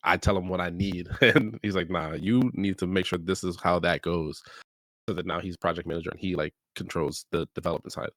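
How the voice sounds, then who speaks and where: A man's voice: low voice, steady tone, brisk, fairly bright tone, no room sound. A man who owns a game studio, speaking casually and candidly, openly admitting his mistakes. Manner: candid, self-deprecating. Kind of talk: casual chat.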